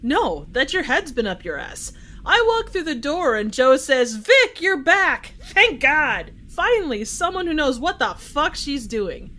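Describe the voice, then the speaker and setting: A woman's voice: high voice, big pitch swings, measured pace, sharp tone, heavily acted. A woman playing a cocky, sardonic, foul-mouthed ex-convict gangster in an amateur audio drama. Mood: mocking.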